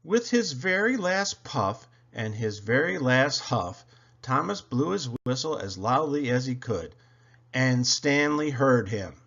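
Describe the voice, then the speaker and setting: A man reading a children's story aloud in an expressive, dramatic, deliberate way. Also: medium pitch, creaky, highs cut off. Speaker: storybook reader.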